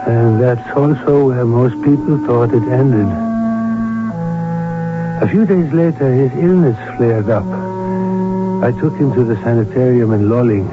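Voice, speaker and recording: A man playing a loyal, measured and slightly wry court physician in an old-time radio drama. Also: medium pitch, lightly acted. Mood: wry, reflective. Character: loyal, measured court physician recalling his royal patient. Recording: muffled old radio broadcast, music behind.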